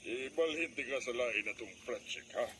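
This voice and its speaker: Man acting a steady construction boss, noisy outdoors. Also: medium pitch, normal speed, natural voice. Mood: businesslike. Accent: Cebuano Filipino. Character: male construction boss, reassuring, steady authority. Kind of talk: Philippine radio drama acting.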